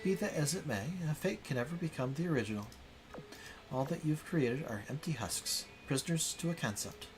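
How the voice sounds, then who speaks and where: A man's voice: fairly high pitch, animated, a little fast, close to an everyday voice. A man playing a solemn noble lady over music. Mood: stern. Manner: formal, solemn. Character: stern, righteous noble lady leader. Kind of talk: dramatic visual novel read-aloud. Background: game music.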